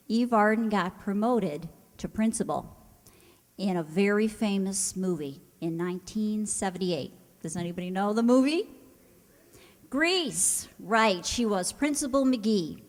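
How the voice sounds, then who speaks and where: A woman's voice: medium pitch, unhurried. Woman host introducing a show to an audience, conversational, mid-range voice, long room reverb. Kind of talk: informal spoken introduction to an audience. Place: hall, before an audience. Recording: echoey hall.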